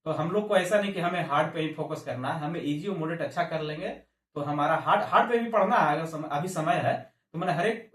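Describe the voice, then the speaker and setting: Echoey, higher-pitched male coaching teacher. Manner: repeats and restarts phrases, mixes in English terms. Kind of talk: informal explanatory lecture to exam aspirants. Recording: echoey room, distant microphone.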